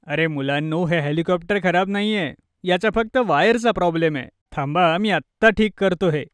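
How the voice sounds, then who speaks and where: A woman's voice: on the low side, moderate pace, clear, resonant tone, no echo, studio quality, lightly acted. A woman voicing a kindly, helpful cartoon father who explains things reassuringly. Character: kindly, helpful middle-aged father of young children.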